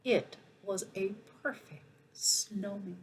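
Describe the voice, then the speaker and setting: A woman reading a children's story aloud in an expressive, animated way, with asides to her young listeners. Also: mid-range voice, takes it slowly, a little hiss or hum, low-quality recording. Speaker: school principal reading a picture book aloud.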